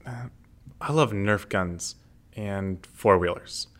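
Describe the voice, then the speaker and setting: A man's voice: lowish voice, takes it slowly, a bit bright. An actor playing a sullen, reluctant teenage boy student who gives terse, mumbled short answers.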